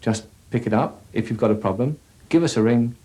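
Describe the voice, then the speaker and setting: A man giving a measured, matter-of-fact explanation as a company representative in an instructional sales film. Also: mid-range voice, mellow, close to an everyday voice.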